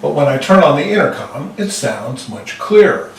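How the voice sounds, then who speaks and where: A man presenting a scripted product overview in an instructional, emphatic, clearly structured way. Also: fairly high pitch, sing-song, moderate pace. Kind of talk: scripted explainer narration to camera.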